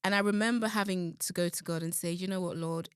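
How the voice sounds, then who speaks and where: A woman's voice: lowish voice, steady tone, fast talker, clear, resonant tone, dry recording, studio quality. A woman guest on an interview show, a gospel singer talking earnestly and reflectively about her music and faith. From London. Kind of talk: casual interview talk.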